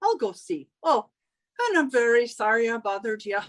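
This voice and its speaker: A woman's voice: a little high, lively intonation, moderate pace, close to an everyday voice. A woman playing a prying, theatrical landlady in 1940s-style radio comedy. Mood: apologetic. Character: suspicious, strict landlady Missus O'Reilly, snooping and apologetic. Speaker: actress in theatre company.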